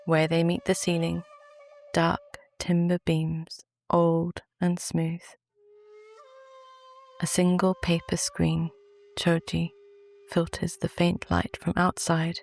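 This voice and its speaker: British woman, soft sleep-story narration, very slow pacing, slightly gravelly creak, calm and soothing. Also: fairly low pitch. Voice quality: soft, gentle. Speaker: therapist narrating a guided sleep meditation.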